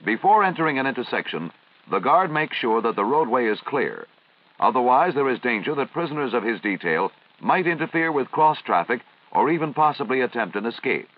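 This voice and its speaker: An American man reading formal, measured, instructional narration in the style of a 1950s military training film. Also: mid-range voice, big pitch swings. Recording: dull, band-limited vintage film soundtrack.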